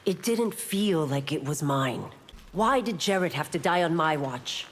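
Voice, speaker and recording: An actress playing a stern, principled chief constable in a fighting game, speaking formally and with authority in a reverberant space. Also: female voice, deep voice, lively intonation, a little slow. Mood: solemn, probing. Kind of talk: scripted fighting game dialogue.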